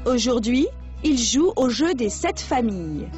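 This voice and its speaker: A woman narrator reading a scripted documentary text with calm, measured delivery. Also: fairly high pitch, muffled recording, music in the background.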